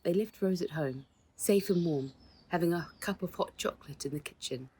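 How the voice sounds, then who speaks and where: A woman reading her own children's story aloud in a measured way, with dramatic stresses in the dialogue. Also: fairly low pitch, a little mellow, breathy edge. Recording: noticeable background noise.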